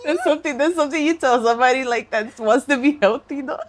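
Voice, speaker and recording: A woman's voice: sits high, quick pace, a little hiss or hum. A woman co-hosting a podcast in casual, joking chat, mixing some Spanish into her English. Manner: joking, laughing, mixes Spanish into her talk. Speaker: podcast co-host.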